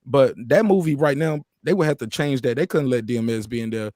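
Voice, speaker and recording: A man's voice: mid-range voice, a little fast, darkish tone, no echo. Young man telling stories, dry sound. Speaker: panelist. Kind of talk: casual chat, animated storytelling.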